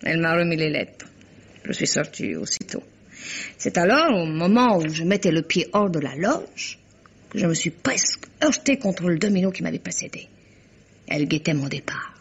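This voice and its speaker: A woman's voice: on the low side, animated, normal speed, husky. An actress playing a proud, haughty society woman from Spain in an emphatic vintage French radio mystery drama. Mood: earnest, dramatic. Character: proud, theatrical Spanish society woman named Carmen, accused. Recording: dull old lo-fi radio recording.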